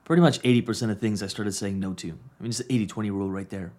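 A man in his thirties talks through a coaching podcast episode in a low-pitched voice. He speaks earnestly and hammers points home by repeating phrases. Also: steady tone, rapid-fire, a little mellow. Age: 30s. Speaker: podcast host and business coach. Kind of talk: casual reflective monologue.

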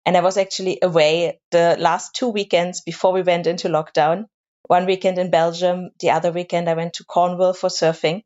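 Woman guest, lowish voice, casual and searching, lots of small restarts. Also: level tone, a little fast, a little mellow, muffled recording. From Germany. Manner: thoughtful, repeats words as she searches for them. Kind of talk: casual interview chat.

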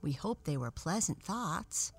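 A woman's voice: fairly low pitch, very animated, a little slow, slightly rough, full character voice. A woman voicing a helpful, courteous tin toy soldier in a children's storybook. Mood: concerned.

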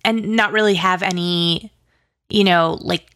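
A woman's voice: on the low side, steady tone, a little slow, a bit bright. A woman chatting casually as an interview guest, enthusiastic and gushing, peppering her sentences with "like". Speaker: interview guest, audio drama writer and producer.

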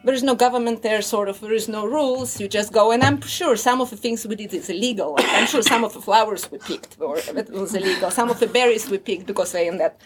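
A woman's voice: medium pitch, a little fast, hardly any pauses, over music. Russian-accented woman telling a childhood story. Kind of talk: casual personal storytelling.

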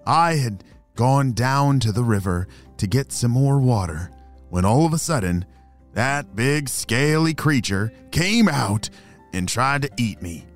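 A man voicing a 137-year-old grandpa tortoise, wise and protective, who speaks slowly and gently. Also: mid-range voice, lively intonation, soft background music, natural voice. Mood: gentle.

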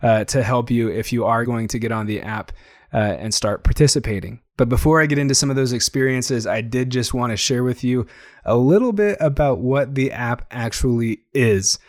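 A young man hosting his own podcast, talking casually and eagerly with a slightly hoarse, tired voice. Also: mid-range voice, moderate pace, no room sound, clean studio sound. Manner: chatty, enthusiastic, frequent fillers. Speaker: podcast host. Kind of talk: casual solo podcast monologue.

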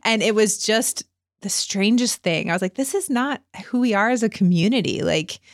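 A woman's voice: mid-range voice, expressive, moderate pace, dry recording. A chatty woman in her forties, talking casually and laughing easily. Her voice sits in a middle range and is recorded close, with a dry, dead sound. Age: 40s. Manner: chatty, laughs often, lots of "like". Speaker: podcast host. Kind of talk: casual interview chat.

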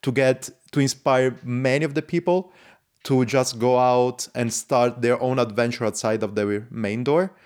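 A man's voice: mid-range voice, fairly even intonation, a little slow, a little mellow. Enthusiastic man hosting a podcast. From lives in Switzerland. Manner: enthusiastic, non-native phrasing. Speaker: podcast host. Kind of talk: casual podcast interview.

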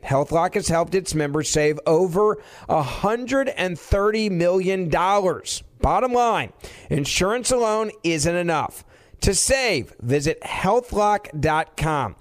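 Un hombre que lee la narración de un anuncio comercial con guion. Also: male voice, high-pitched. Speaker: advertisement announcer. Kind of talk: scripted commercial narration.

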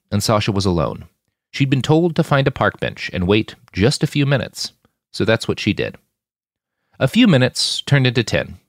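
A man's voice: fairly low pitch, gravelly, no echo. A man reading scripted fiction as a podcast narrator, in a dramatic storytelling style, recorded up close.

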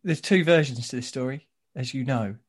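A man's voice: medium pitch, normal speed. A man from South East London hosting a podcast, telling wry stories and reading out a guest introduction. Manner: easygoing, wry storytelling. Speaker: podcast host. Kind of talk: casual chat and read introduction.

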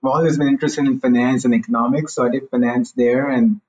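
A man's voice: mid-range voice, fairly even intonation, fast, a little mellow, far from the mic, poor audio. A man in his forties from India, a guest telling his story frankly in a casual interview. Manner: candid, hedges with "you know, look".

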